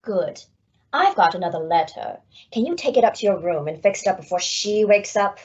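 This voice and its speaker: A woman's voice: mid-range voice, lively intonation, brisk, darkish tone, husky. A woman playing a haughty, scheming society snob with an icy, condescending manner in a radio comedy. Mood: conspiratorial. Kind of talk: 1950s radio comedy acting. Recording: dull old-time radio recording.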